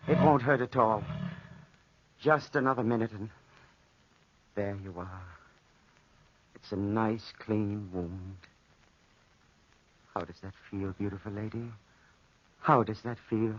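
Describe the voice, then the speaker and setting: A muffled old radio recording of a lovelorn soldier losing himself to obsession. His mid-pitched voice is husky and brooding, in the melodramatic manner of 1940s radio. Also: takes it slowly, pauses often. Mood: tender, eerie. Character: obsessed, lovelorn soldier talking tenderly to a panther.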